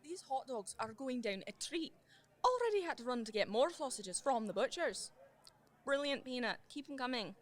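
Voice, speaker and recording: High-voiced, determined young woman played by an actress. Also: very animated, moderate pace. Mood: brisk, upbeat. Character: determined young woman organiser fighting for her community centre. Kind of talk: amateur community radio play acting.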